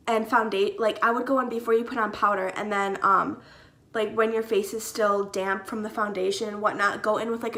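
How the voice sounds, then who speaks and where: A woman's voice: a little high, bright, forceful tone. A young woman with a slightly stuffy nose from a cold, chatting casually through a makeup tutorial with many "like" and "um" fillers. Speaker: beauty vlogger.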